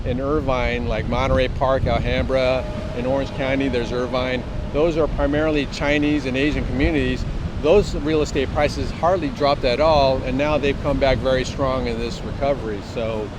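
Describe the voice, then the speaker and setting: A man's voice: steady tone, normal speed. A man explaining things conversationally as an interview guest. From Southern California, USA. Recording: clear outdoor rumble and wind noise.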